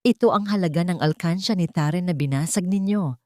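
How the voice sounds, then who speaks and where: A woman's voice: fairly low pitch, a little fast, darkish tone, no room sound, studio quality, natural voice. A woman playing a wronged, dignified young wife in an audio drama, speaking solemnly and emphatically. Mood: firm, forgiving.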